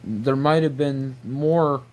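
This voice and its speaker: A man's voice: medium pitch, slow pace. A man rambling casually to viewers, exasperated and incredulous, repeating himself and peppering his talk with mild slang swearing. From New Brunswick, Canada. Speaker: video creator.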